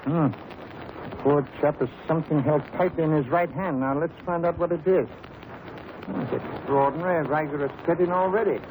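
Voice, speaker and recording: An actor playing a shrewd, composed detective in a radio mystery, precise and dryly superior in manner. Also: male voice, a little high, natural voice. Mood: grave, intent. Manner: precise, measured, dryly condescending. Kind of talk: 1930s radio mystery acting. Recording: noisy old radio recording.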